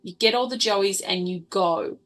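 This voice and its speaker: A woman's voice: mid-range voice, moderate pace, a bit bright, lightly acted. A woman playing a blunt, commanding female wasteland survivor in a tabletop game, giving firm orders. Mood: urgent, commanding. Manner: firm, imperative. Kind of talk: tabletop roleplaying character voice.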